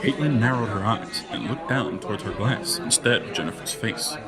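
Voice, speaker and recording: A man's voice: lowish voice, lively intonation, normal speed, music in the background, crowd noise. A man narrating a scripted gothic audio drama in a florid, dramatic manner.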